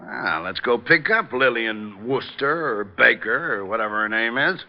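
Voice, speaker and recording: An actor playing a weary, long-suffering police lieutenant who grumbles in exasperation, in 1940s radio drama style. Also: male voice, medium pitch, lively intonation, normal speed, over loud music, lightly acted. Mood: weary, businesslike. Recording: muffled, noisy old radio recording.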